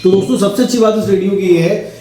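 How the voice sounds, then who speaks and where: Higher-voiced Indian man, echoey product demo. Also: quick pace, warm tone. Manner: explanatory, checks in with listeners using tag questions. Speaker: product demonstrator. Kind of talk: casual instructional product demo. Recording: roomy echo, faint music behind.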